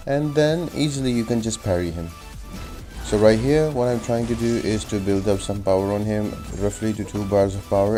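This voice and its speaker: A man with a fairly low, soft-edged, mellow voice talks through a video game guide. He explains each move step by step in a casual, instructional way, with music playing behind him. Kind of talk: casual gameplay tutorial commentary. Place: voice-over on gameplay video.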